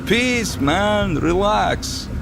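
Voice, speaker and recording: An actor playing a jovial, theatrical gangster arms dealer in a video game, with a showy, wisecracking manner. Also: male voice, sits high, lively intonation, drawn-out words, gravelly, noisy background, full character voice. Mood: playful. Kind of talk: video game character acting. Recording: music behind the voice.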